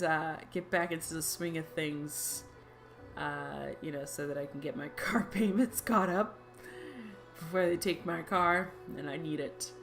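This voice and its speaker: A woman in her thirties talks loosely to her viewers at the end of a gaming video. Her speech is slow and full of fillers, and her tone is bright and forceful. Faint game music plays behind her. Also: on the low side. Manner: chatty and rambling, with frequent fillers. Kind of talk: casual let's-play commentary.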